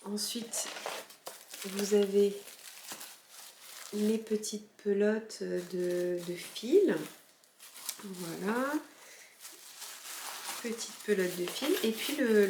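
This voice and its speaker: A woman's voice: medium pitch, echoey room, background noise. A woman from southern France hosting a knitting podcast, showing her purchases in a casual, hesitant way with many fillers and restarts. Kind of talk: casual show-and-tell chat.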